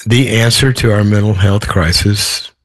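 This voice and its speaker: Male guest, hoarse today, low voice, rambling and reflective, dry recording. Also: steady tone, normal speed, dry recording, poor audio. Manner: thoughtful, rambling with restarts. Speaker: author guest. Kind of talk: podcast interview.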